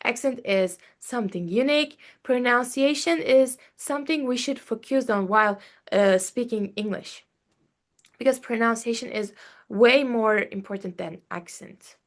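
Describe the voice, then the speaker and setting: A woman's voice: on the high side, measured pace. A young woman with a Turkish accent giving a class presentation, hesitant, with many fillers and frequent "okay?" checks. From Turkey. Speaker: student presenter.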